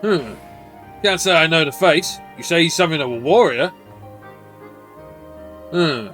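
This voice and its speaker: Man as rough-spoken fantasy game hunter, colloquial, lively delivery. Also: a little high, unhurried, soft background music. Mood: gruffly helpful. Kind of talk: reading game dialogue in character.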